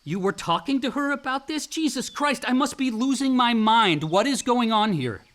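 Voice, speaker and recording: An actor playing a sardonic, easily exasperated young adult son in a naturalistic radio play, speaking in casual, slangy, profane phrases. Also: male voice, very high-pitched, lively intonation, normal speed, a bit bright.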